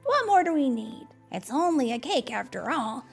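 A woman's voice: sits high, sing-song, normal speed, creaky, breathy edge, faint music behind, pitched above the performer's own voice, full character voice. A woman voicing Thomas, a cheerful, kind male storybook creature who speaks in an upbeat, hearty way.

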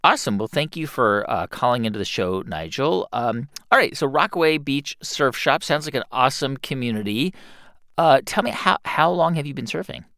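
A man's voice: medium pitch, animated, moderate pace, pushed and bright, no room sound. A friendly male host takes a caller on his advice show, recorded dry and close. He often doubles his words mid-thought before landing his point. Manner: friendly, repeats words while thinking.

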